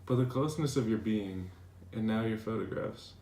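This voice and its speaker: A man playing a devoted philosopher reading his tender love letters aloud in a measured, earnest dramatization. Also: lowish voice, warm tone, natural voice. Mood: tender, adoring. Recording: echoey room, distant mic, faint noise.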